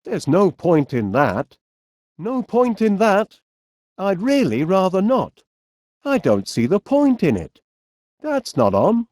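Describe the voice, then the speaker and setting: A man voicing a genial, polite, eccentric middle-aged inventor with a Northern English accent in an animated comedy game. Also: high-pitched, no echo, clean studio sound, full character voice. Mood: polite, reluctant. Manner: polite, quaint British turns of phrase, drawn-out words. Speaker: video game voice actor.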